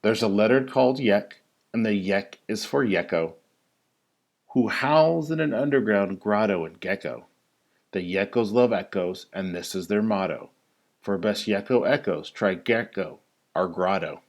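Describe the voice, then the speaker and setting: A man reading a children's rhyming picture book aloud like a teacher, with a theatrical, singsong delivery and drawn-out emphasis. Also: lowish voice, lively intonation.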